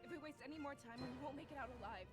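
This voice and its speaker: A woman's voice: high-pitched, racing, lightly acted. A woman voicing an impatient, sarcastic female animal bodyguard in a video game, snapping her lines out. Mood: urgent, impatient. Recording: game audio under loud music.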